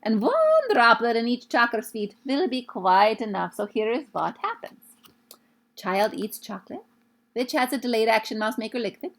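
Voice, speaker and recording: A woman voicing an imperious, child-hating Grand High Witch for a children's storybook, commanding and emphatic. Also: moderate pace, a bit bright. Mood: gleefully scheming.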